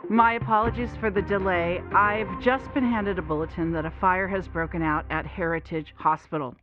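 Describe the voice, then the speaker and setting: A woman playing a composed radio call-in host, measured and articulate, in an audio drama. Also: fairly low pitch, steady tone, over music, natural voice. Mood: grave. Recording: dry, dull lo-fi radio sound.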